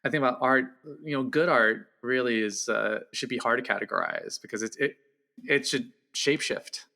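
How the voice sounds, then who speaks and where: Male guest critic, mid-range pitch with a bright, forward tone, reflective and casual, searching for the right words. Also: very animated, moderate pace, gravelly. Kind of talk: casual film discussion.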